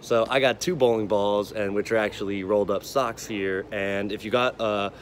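Young American coach outdoors, noisy background. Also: male voice, on the low side, fairly even intonation, normal speed. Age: 20s. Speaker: sports coach. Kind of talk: casual instructional video for kids. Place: outdoor backyard. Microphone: phone, at arm's length, then farther away. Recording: outdoor noise, phone video.

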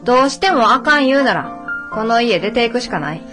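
An actress playing a stubborn, resolute young adult daughter in a radio drama, blunt and matter-of-fact, with a Kansai accent. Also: female voice, fairly high pitch, expressive, brisk, fairly bright tone, faint music behind. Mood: insistent.

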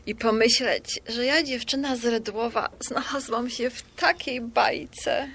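An actress in a radio drama playing a modest, self-doubting Polish pensioner around sixty, speaking in a hesitant, demurring, conversational way. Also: female voice, fairly high pitch, unhurried, fairly bright tone. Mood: awed.

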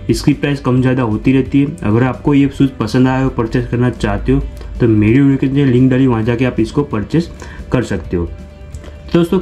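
Young male unboxing host, mid-pitched and mellow, chatty and repetitive, talks straight to viewers. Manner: repetitive, explanatory, addresses viewers as friends. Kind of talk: product unboxing narration. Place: small room indoors.

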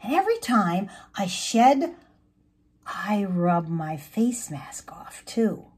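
A woman voicing an earnest young male caterpillar in a children's storybook, expressive and drawing out words. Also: medium pitch, slightly rough, full character voice. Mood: effortful, pleased. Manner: expressive, drawn-out calling and musing.

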